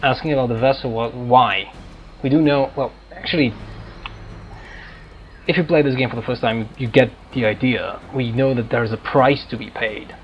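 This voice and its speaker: A man giving casual game commentary, thinking aloud in a halting, hesitant way. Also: mid-range voice, steady tone, muffled recording, slight background noise. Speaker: gameplay streamer. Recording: game music behind. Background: video game music.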